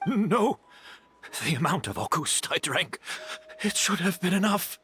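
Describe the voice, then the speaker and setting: An actor playing a despairing poisoned man in a video game, speaking in a halting, disbelieving manner. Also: sits high, animated, fairly bright tone, faint music behind. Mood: shocked, disbelieving. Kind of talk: dramatic video game voice acting.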